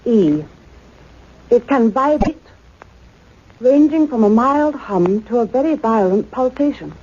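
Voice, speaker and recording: A woman's voice: a little high, animated, takes it slowly, a little mellow. A woman playing a composed, dutiful scientific colleague in a radio play, attentive and formal. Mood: attentive, curious. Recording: dull, noisy lo-fi radio recording.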